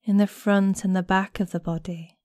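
A woman guiding a meditation in a gentle, soothing, unhurried manner with long pauses between phrases. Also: medium pitch, steady tone, dry recording, clean studio sound. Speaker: guided meditation instructor. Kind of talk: guided body scan meditation narration.

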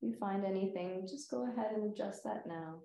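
A woman leading a guided meditation as a yoga nidra teacher, speaking in a soothing, gentle, unhurried way with long pauses. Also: mid-range voice, vocal fry, roomy sound, a little hiss or hum.

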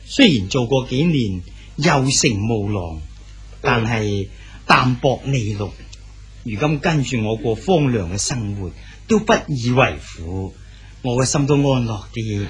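An actor with a Cantonese accent playing an aging exiled male poet, gentle and fatherly in a radio drama. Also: mid-range voice, animated, a little slow, husky, faint music behind. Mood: calm. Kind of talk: 1980s Hong Kong radio drama acting.

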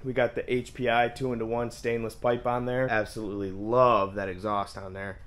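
A man from Michigan with a hoarse voice he is losing, casually vlogging to his viewers and calling them guys. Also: medium pitch, little pitch movement, moderate pace, fairly bright tone. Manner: upbeat, addresses viewers as guys. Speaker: motorcycle vlogger. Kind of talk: casual vlog narration to camera. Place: home garage, then outdoors at a shop lot.

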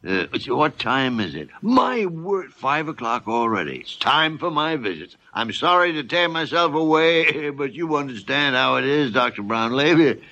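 An actor playing a gruff, blunt, crusty senior doctor who hems and haws in an old-time radio drama. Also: male voice, mid-range voice, sing-song, a little slow, few pauses, sharp tone, exaggerated voice. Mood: awkward. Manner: gruff, dry, hemming and hawing. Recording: dull old radio recording.

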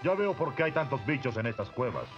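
A man's voice: mid-range voice, very animated, fairly quick, highs cut off, loud music behind the voice, lightly acted. A man with a Latin American Spanish accent voicing a confident, good-natured muscular hero in a cartoon dub. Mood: composed, determined. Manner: assured, heroic, declarative.

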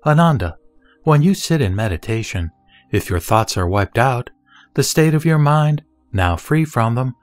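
A man's voice: fairly low pitch, no echo, lightly acted. A man reading the Buddha, solemn teacher. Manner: measured, solemn recitation. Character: the Buddha, calm wise male teacher. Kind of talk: scripted scripture reading.

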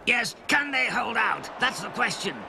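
A man doing a gravelly excitable commentator. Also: very high-pitched, unhurried, exaggerated voice. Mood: excited. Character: excitable male football radio commentator. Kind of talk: mock sports commentary in a story. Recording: noisy recording with ambience.

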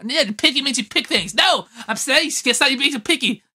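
Man voicing an excitable, bright-voiced bickering monster. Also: very high voice, fairly quick, heavily acted. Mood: irritated. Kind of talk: improvised comedic fan dub.

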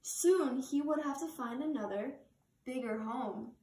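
Young woman reading a storybook slowly. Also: high-pitched. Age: young adult. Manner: slow, expressive storytelling. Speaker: reader. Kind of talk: children's picture book read-aloud. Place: living room, on a couch. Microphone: camera across the room. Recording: roomy living room from far camera.

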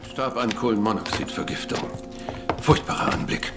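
An actor playing a dry, dismissive police sergeant in a radio crime drama, speaking matter-of-factly. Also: male voice, mid-range voice, steady tone, moderate pace, loud music behind the voice, lightly acted. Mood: grim.

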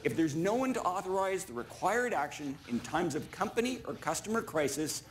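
A middle-aged man delivering a rehearsed keynote speech as a chief executive, speaking in measured, deliberate, emphatic short statements. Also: on the high side, sing-song, slight background noise. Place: large conference stage before an audience. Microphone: small clip-on lapel mic.

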